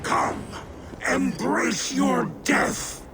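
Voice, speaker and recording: A man's voice: very high-pitched, steady tone, drawn-out words, heavy background noise, heavily acted. A man acting in a video game as a proud, commanding giant lord and father, with a declamatory delivery. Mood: furious.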